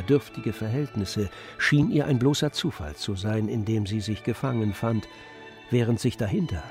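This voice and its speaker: A man's voice: medium pitch, a little mellow. A man reading scripted literary narration as the narrator of a radio drama.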